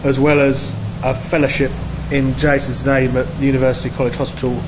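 A man's voice: medium pitch, flat delivery, a little fast. A man who organises the charity, earnestly explaining at length from behind the camera in a pub. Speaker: charity organiser filming the artist. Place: indoor pub. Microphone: camera mic, speaking from behind the camera. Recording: dull sound with clear background noise.

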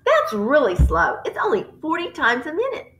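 An earnest storybook little girl, played by a woman, very high and bright, speaking with excitable emphasis. Her pitch swings widely, and the sound is roomy. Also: moderate pace, full character voice. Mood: eager, informative. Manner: emphatic, crying out her words.

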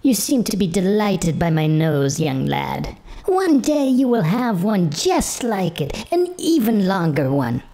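A man voicing a shabby, spiteful old witch woman who grumbles sharply in a high, put-on voice. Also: big pitch swings, unhurried, gravelly, warm tone, exaggerated voice. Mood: scornful grumbling.

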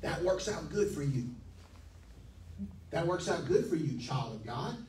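Pastor, male, forties: high, lively, emphatic sermon delivery into a handheld mic, heard from across an echoey church hall. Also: a little slow, distant mic, slight background noise. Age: 40s. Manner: animated, emphatic, pacing the stage. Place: church hall, medium-sized, indoors.

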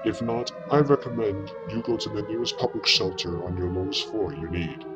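A man's voice: on the low side, close to an everyday voice. A man voicing a fleet commodore who gives a grave emergency address to a nation under nuclear attack. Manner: grave, solemn, measured. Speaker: wartime leader giving an emergency address. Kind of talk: emergency broadcast speech. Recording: speech over loud music.

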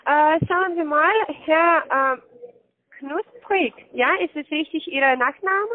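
A woman with a high voice and a foreign, non-native accent talks slowly over a narrow phone line. She works as a call-centre agent, hesitating as she checks and repeats details. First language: not German. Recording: dull band-limited phone line.

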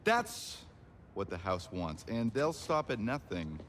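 An urgent, scheming casino owner, with lively swings in pitch. He pushes persuasively in a dramatic game scene, with some background noise. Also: takes it slowly, heavily acted. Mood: intense.

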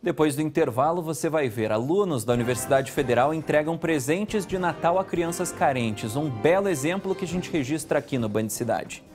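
A man, presenting the local news from a TV studio in a steady, conversational way. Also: on the high side, brisk, few pauses, faint music behind. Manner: steady, conversational anchor delivery. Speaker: news anchor.

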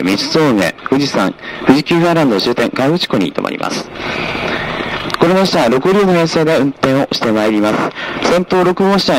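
A man working as a train conductor makes formal, polite announcements over a train's public address speaker, repeating key information. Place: inside a moving train, over its PA. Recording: noisy train PA speaker, rumbling vehicle.

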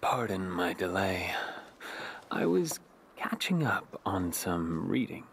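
Deep, brooding, poetic mystery man. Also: sing-song, gravelly, a little hiss or hum, full character voice. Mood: calm, ominous. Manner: measured, literary, understated. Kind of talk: video game cutscene acting. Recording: loud music behind the voice.

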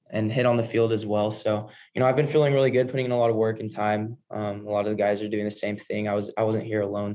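Flat-toned young American athlete, male. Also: fairly low pitch. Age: early 20s. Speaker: college baseball player. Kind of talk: post-game press conference answers. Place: indoor press room before a backdrop. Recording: dull, lo-fi video call audio.

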